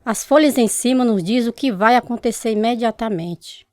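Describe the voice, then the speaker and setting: A woman's voice: on the high side, normal speed, darkish tone, no echo, studio quality, close to an everyday voice. An actress playing a confident, insistent spiritualist mother in a Brazilian radio drama, speaking in an assured, instructive way. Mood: assured, coaxing.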